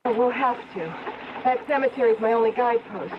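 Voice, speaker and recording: An actress playing an anxious, pleading young wife among fugitives in an old radio drama. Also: female voice, fairly high pitch, fairly quick, lightly acted. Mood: uneasy. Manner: worried, polite, pleading. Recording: muffled, very noisy old radio recording.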